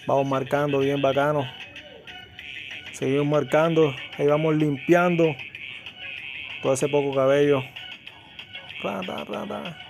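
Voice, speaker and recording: Hyped barber with music behind. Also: male voice, a little high, creaky. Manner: excited, slangy, repeats words for hype. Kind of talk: casual haircut vlog narration. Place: small indoor barbershop.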